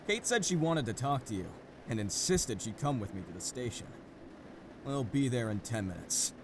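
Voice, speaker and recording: A man's voice: medium pitch, very animated, normal speed. A man playing an impatient police detective in an audio drama, talking conversationally and questioningly. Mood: matter-of-fact. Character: impatient male police detective reporting in from the road. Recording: faint vehicle and ambient noise.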